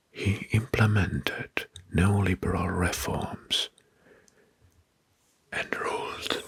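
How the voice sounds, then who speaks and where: A man whispers very close and dry, in a very breathy, hushed voice with almost no full tone. He narrates facts in a soft-spoken ASMR style, unhurried and deliberate, softly repeating names. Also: deep voice, no room sound.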